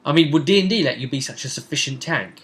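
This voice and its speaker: A man's voice: a little high, animated, quick pace, a bit bright. A man hosting as game master in a casual chat, conversational and often seeking agreement.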